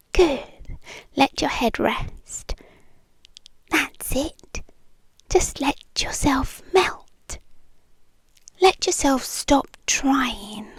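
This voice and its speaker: A possessive, doting British girlfriend, acted by a woman, murmurs in a high, breathy voice that often slips into whispers. She coaxes very slowly, lingering between phrases with soothing, clingy tenderness. It is an intimate ASMR roleplay, close and dry on the mic. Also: darkish tone, dry recording, exaggerated voice. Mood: coaxing, tender. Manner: slow, lingering, coaxing delivery with pauses. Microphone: close microphone, dry.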